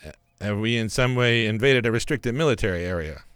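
Male actor as pompous captain, fairly low pitch, exasperated, declamatory, comic radio theatre. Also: fairly quick, a bit bright, clean tone, close to an everyday voice. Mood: formal. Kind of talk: comedic radio theatre parody acting.

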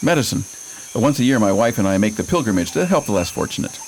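A man's voice: on the low side, sing-song, fast, slight background noise, low-quality recording. A man playing a chatty, easygoing traveling medic. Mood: friendly. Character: friendly talkative male traveling medic. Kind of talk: radio play acting.

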